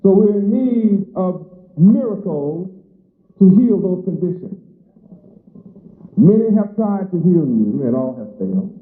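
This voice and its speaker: Male preacher, emphatic repetitive cadence, fairly high voice, thin phone-like old recording with roomy echo. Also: lively intonation, unhurried, pauses often. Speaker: religious teacher. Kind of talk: sermon. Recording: echoey lo-fi phone-like archive recording.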